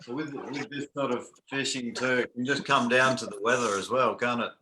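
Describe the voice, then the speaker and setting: A man hosts live fishing coverage in a relaxed, chatty way, passing along viewer messages. His mid-range voice has a bright, sharp edge. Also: mid-range voice, a little fast, a little hiss or hum, low-quality recording. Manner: casual, reads out viewer comments. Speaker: host. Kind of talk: live sports commentary.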